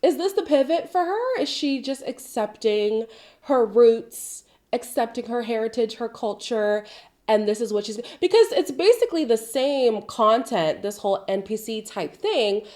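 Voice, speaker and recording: A woman's voice: on the high side, measured pace. Chatty Zimbabwean woman, voice sick. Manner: chatty and expressive, with side tangents. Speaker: commentary channel host. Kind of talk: casual pop-culture commentary.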